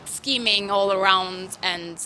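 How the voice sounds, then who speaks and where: A woman from Turkey answering a street interview casually, pausing to search for words. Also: mid-range voice, sharp tone, a little hiss or hum, rough recording.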